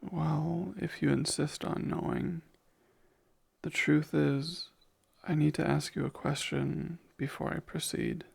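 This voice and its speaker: Protective vampire caretaker played by a man, slightly high male voice, unhurried, soft and intimate ASMR delivery, tender and courteous. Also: little pitch movement, creaky, husky, heavily acted. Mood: careful, serious. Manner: tender, soothing, hesitant, formal wording.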